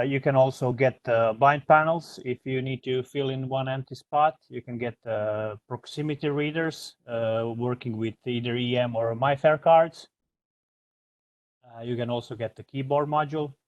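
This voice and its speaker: A man giving an informal software tutorial as a product trainer, explaining step by step in a hesitant way. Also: mid-range voice, no echo.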